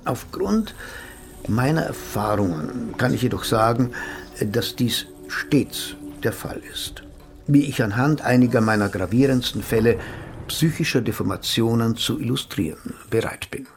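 Radio drama role: smug older male analyst, wordy and formal, swooping intonation with a gravelly edge. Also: mid-range voice, animated, measured pace. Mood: lecturing, self-assured. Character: pompous older male psychoanalyst, smug and unflappable. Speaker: narrator and psychotherapist. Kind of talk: German radio drama acting, literary narration.

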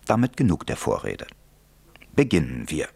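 Male narrator for radio drama; wry, theatrical, very lively intonation. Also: a bass voice, moderate pace, gravelly, breathy edge. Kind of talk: scripted radio play narration.

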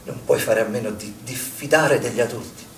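A man's voice: medium pitch, brisk, natural voice. An actor playing an anxious, accusing father who is protective of his children, in an insistent stage-drama style. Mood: uneasy, confiding. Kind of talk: stage theatre acting. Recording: echoey room, distant mic, background noise.

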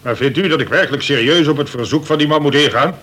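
An actor playing a worried, irritable colonel who runs a prison in a radio play, hesitant and gruff. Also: male voice, a little high, fast talker, a bit bright. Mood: fretful.

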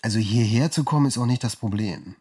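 A man's voice: medium pitch, quick pace, darkish tone, breathy edge, close to an everyday voice. A man playing an evasive, sardonic lover in naturalistic radio drama dialogue, dodging with dry mockery. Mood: sardonic, irritated. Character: evasive, sardonic lover stuck in a small town.

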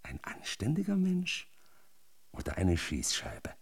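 A husky, very deep-voiced menacing marshal. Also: male voice, huge pitch swings, slow pace, full character voice. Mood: threatening, commanding. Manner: mock-paternal, sardonic lecturing with sudden barked commands. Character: menacing, falsely kindly marshal overseeing enslaved workers. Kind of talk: German radio drama acting.

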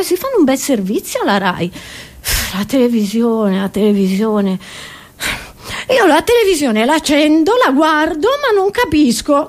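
Woman doing a little old granny, high voice, hard of hearing, rambles and grumbles, repeats stuff, comedy stage bit. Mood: grumbling. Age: older. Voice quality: high-pitched old-lady voice. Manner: rambling, repetitive, stammering indignant grumbles. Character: chatty, grumbling, half-deaf elderly Italian grandmother. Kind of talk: comic stage monologue.

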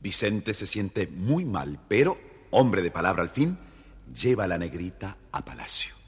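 A man narrating a scripted children's story in an expressive, theatrical way, with a husky voice. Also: low voice, measured pace. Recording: hall-like reverb, faint music behind.

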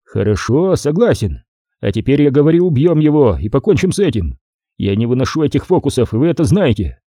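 A man's voice: mid-range voice, sing-song, brisk, mellow, dry recording, clean studio sound. A man voicing a hot-tempered, impatient gangster in a story, with a coarse, exasperated manner.

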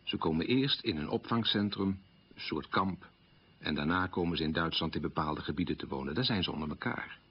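Casting: middle-aged man, low voice, earnest and self-reproaching, confessional radio drama, muffled old recording. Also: expressive, a little fast, slightly rough. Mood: sober recollection. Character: guilt-ridden middle-aged son of a wartime collaborator.

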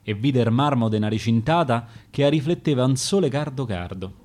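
A man's voice: medium pitch, a little fast. Man reading Roman dialect verse. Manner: expressive, rhythmic verse delivery. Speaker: poet and reader.

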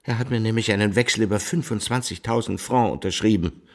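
An actor playing a vain, self-pitying middle-aged baron with an eye for women in a German radio drama, with a husky voice. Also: male voice, on the low side, fast talker, lightly acted. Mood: bitter, distressed.

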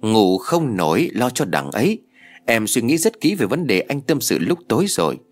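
Male narrator as a scheming mistress, sugary and coaxing, animated delivery. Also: medium pitch, normal speed, soft background music. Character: young mistress, sweet-talking and scheming.

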